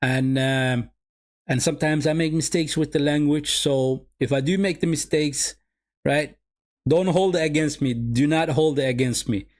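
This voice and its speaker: A man's voice: fairly high pitch, normal speed. A man whose first language is Somali, running a live football watch-along and calling the game for his viewers, often repeating his phrases. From Sweden.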